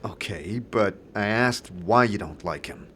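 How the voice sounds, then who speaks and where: A man's voice: fairly low pitch, expressive, measured pace, fairly bright tone, a little hiss or hum. A man voicing a bewildered outsider reluctantly investigating, questioning hesitantly, in a fantasy audio drama. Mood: probing.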